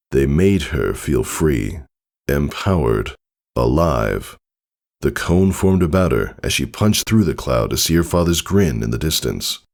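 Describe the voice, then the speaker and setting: A man reading a story as a dramatic narrator, in deliberate phrases with weighted pauses. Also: very deep voice, vocal fry, a little mellow. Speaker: fan fiction reader, narrator.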